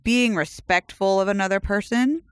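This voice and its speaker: Podcast co-host, earnest and affirming, smooth clear voice, dry close recording. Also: mid-range voice, fairly bright tone, no echo. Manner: earnest, affirming, quick backchannels. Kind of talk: casual chat.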